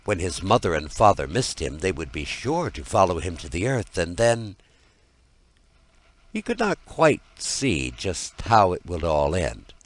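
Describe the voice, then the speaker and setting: A man narrating a scripted fairy tale as an audiobook, in a dramatic and deliberate storytelling manner. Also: deep voice, very animated.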